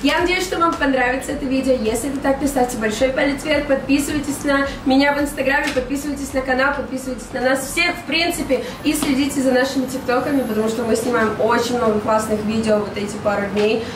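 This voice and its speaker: A young woman hosting a casual group mukbang vlog, slightly hoarse from a cold and chatty. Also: sits high, steady tone, fast, hardly any pauses. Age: young adult. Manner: chatty, laughs often. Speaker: channel host. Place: large dining room indoors with friends. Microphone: camera at a distance. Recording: echoey room, mic at a distance, background noise.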